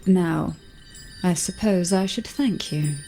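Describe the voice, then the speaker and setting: A woman playing a poised, teasing noblewoman in an anime-style video game, speaking in a formal, measured, coolly teasing way. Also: on the low side, warm tone, soft background music, rough recording. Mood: composed, gracious. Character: poised, teasing noblewoman heading a powerful family.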